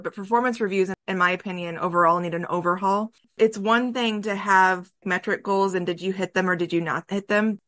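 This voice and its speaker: A woman's voice: medium pitch, a bit bright, no room sound. A woman hosts an interview conversation and talks in an earnest, emphatic way. Her voice sits in the middle range, and the recording is dry and close. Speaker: podcast host.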